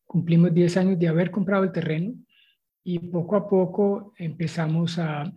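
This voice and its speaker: A man's voice: sits high, fairly even intonation, normal speed, slightly rough. A middle-aged man with a Colombian accent, introducing himself conversationally as an interview guest. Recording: dull lo-fi video call audio.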